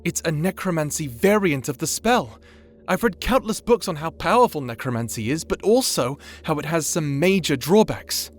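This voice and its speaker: A man's voice: on the high side, expressive, normal speed. A man voicing a nervous, bookish young mage who stammers and speaks with hesitant earnestness in a fantasy audio drama. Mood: explaining, hesitant. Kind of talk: fantasy audio roleplay acting.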